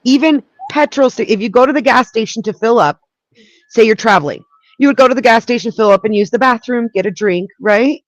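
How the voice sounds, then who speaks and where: A woman's voice: a little high, expressive, fairly quick, no echo. A woman in casual online debate, blunt and matter-of-fact, recorded with slightly dull stream audio. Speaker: panel participant. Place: online panel call.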